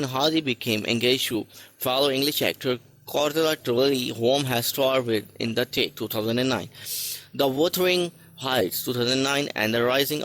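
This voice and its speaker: A man narrating a list video from a script, reading haltingly with stray articles and restarts. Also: medium pitch, fairly bright tone, low-quality recording. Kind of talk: read-aloud list narration.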